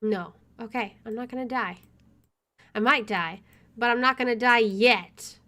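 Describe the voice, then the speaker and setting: A woman's voice: a little high, unhurried, fairly bright tone. A woman hosting a gaming video, reading visual novel narration aloud with casual asides.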